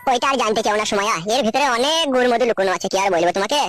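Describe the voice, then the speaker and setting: Woman voicing a fast-talking, surly young cartoon man. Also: fairly high pitch, faint music behind, lightly acted. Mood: scheming. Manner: grumbling, crude. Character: surly, scheming, foul-mouthed young village man. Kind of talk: amateur cartoon village comedy dubbing. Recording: loud background noise.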